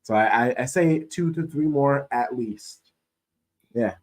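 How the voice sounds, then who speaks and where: A man's voice: medium pitch, unhurried, a little mellow. A man chatting casually about sport as a panelist on a fan podcast.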